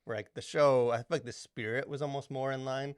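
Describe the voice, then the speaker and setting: A man's voice: mid-range voice, fairly even intonation, brisk, smooth, dry recording, studio quality. A man co-hosting a podcast, talking casually in a rambling way, full of fillers and restarts. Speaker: podcast co-host. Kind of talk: casual chat.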